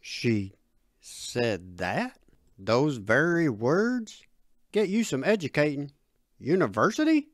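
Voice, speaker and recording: A woman voicing a gruff, folksy, middle-aged country father with slangy, homespun phrasing in an audio story. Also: sits low, animated, unhurried, no echo, clean studio sound, voice pushed down. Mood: wary.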